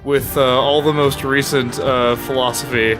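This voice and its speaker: A man playing along in casual, improvised game banter, speaking haltingly. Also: medium pitch, level tone, music in the background. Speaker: comedy podcast player.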